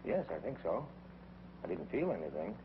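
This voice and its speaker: A dazed space commander. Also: male voice, deep voice, very animated, moderate pace, background noise. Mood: bewildered. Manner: hesitant, bewildered. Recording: dull old 1950s TV soundtrack, music behind.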